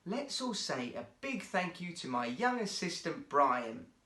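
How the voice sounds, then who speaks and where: A man voicing a kindly seal trainer at a zoo show in a children's story, with a hearty, deliberate announcer's delivery. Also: on the high side, sing-song, pushed and bright, echoey room, exaggerated voice. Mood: rousing.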